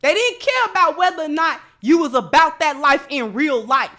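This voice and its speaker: A woman's voice: high-pitched, animated, moderate pace, bright, forceful tone. An American woman host giving opinionated commentary in informal, colloquial speech, emphatic and repeating phrases to drive a point home. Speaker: livestream host.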